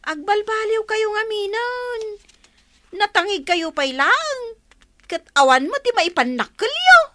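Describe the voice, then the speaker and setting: A woman with an Ilocano Filipino accent playing a bossy, sharp-tongued, meddling housemaid in a comedy radio drama. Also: very high voice, sing-song, unhurried, no room sound, heavily acted. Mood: defiant, scolding.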